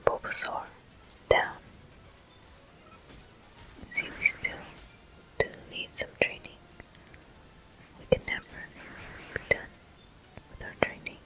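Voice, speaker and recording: A woman hosting a gameplay video in ASMR style, murmuring softly and gently as she comments. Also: low-quality recording. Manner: soft, gentle, unhurried murmuring. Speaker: gameplay host.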